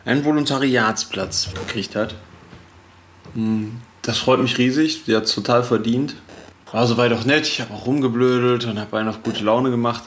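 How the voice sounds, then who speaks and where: A man's voice: medium pitch, fairly bright tone, a little hiss or hum, close to an everyday voice. A man playing a rambling young diarist. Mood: fed up. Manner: casual, rambling, self-deprecating. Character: restless man in his late twenties keeping an audio diary. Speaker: diarist trying out a self-help book. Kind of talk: radio drama diary monologue.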